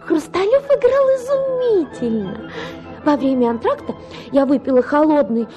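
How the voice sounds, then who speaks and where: An actress playing a capricious, chattering young wife in a radio play, with a theatrical, gushing and complaining manner. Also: female voice, sits high, dark tone.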